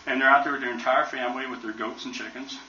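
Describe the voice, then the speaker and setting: An older American man spins folksy, joking stories in a bright, forceful voice. He sounds far from the mic in a roomy museum hall, and the recording is dull and muffled. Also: mid-range voice, fairly even intonation, fast talker, a little hiss or hum. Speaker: retired army helicopter pilot speaker. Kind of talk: casual museum talk with Q&A.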